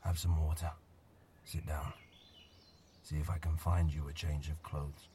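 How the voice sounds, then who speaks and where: An actor playing a weary old man telling a haunting tale in an audio drama, measured, hushed and solemn. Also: extremely low pitch, flat delivery, background noise. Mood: quiet, hospitable. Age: older.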